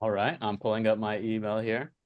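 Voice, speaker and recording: A man's voice: fairly low pitch, little pitch movement, brisk, muffled recording. A man hosting an online chat who explains his beliefs earnestly and keeps checking in with "right?" and "okay?". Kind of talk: casual chat and preaching. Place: online video meeting.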